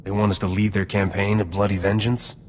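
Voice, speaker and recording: A man's voice: on the low side, flat delivery, a little fast, darkish tone, a little hiss or hum, close to an everyday voice. A man playing a wry, dry but supportive roguish starship captain in a video game. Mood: businesslike. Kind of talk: video game cutscene dialogue.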